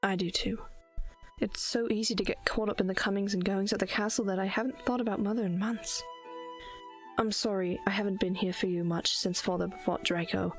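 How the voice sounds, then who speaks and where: A woman playing a caring, protective princess and older sister, with a measured, formal, soothing manner in an audio drama. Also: mid-range voice, steady tone, natural voice. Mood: gentle, regretful. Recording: music bed behind the voice.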